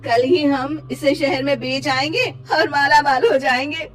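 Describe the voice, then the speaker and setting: A woman voicing a loud, gleeful con woman posing as a village witch in a cartoon story. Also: high-pitched, measured pace, pitched above the performer's own voice.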